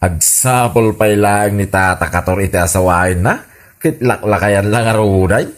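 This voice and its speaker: A man's voice: lowish voice, unhurried, close to an everyday voice. A man playing a chatty, easily surprised Ilocano neighbour in a radio comedy drama.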